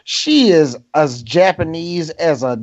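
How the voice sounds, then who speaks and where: A man co-hosting a podcast in casual movie-review banter, animated and profane, often laughing and dropping into mock voices. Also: fairly high pitch, slow pace, highs cut off. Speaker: podcast co-host.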